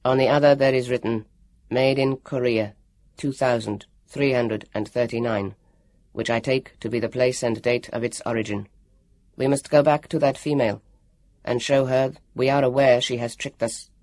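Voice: medium pitch, steady tone, moderate pace, darkish tone, no room sound, voice pushed down, close to an everyday voice. A storybook narrator voicing a proud male android who speaks in a formal, precise and polite way.

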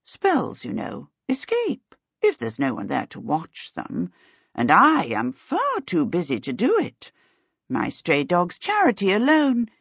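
A woman's voice: lowish voice, wildly swooping pitch, takes it slowly, vocal fry, breathy edge, no room sound, muffled recording, full character voice. A woman voicing a bossy, rich older aunt who gives commands in an audiobook. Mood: imperious. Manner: commanding, decreeing. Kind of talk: audiobook character voice.

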